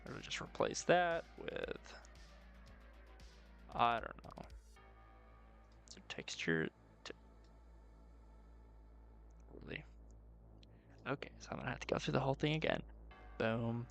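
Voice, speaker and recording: Slow, gravelly man muttering on stream. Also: mid-range voice, huge pitch swings, a bit bright, soft background music. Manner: muttering, profane, playful self-talk. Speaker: streamer doing 3D art. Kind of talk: casual live stream thinking aloud.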